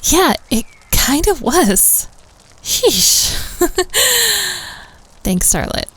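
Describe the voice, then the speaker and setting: A woman's voice: very high voice, wildly swooping pitch, very slow, fairly bright tone, heavily acted. A woman playing an easygoing student who leads a campfire story circle, chatting casually in an audio roleplay. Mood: amused.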